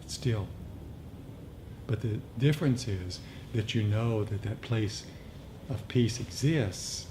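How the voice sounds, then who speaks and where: A man leading a meditation group, speaking off the cuff in a reflective, halting way with repeated phrases. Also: on the low side. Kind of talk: unscripted reflective talk on meditation practice. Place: meditation group meeting room. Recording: noisy live room recording.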